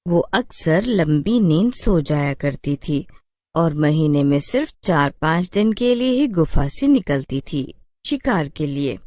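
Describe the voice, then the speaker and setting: A woman narrates a scripted story at a slow, measured pace. Her voice sits fairly low for a woman and is recorded dry and close. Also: animated, darkish tone, no echo.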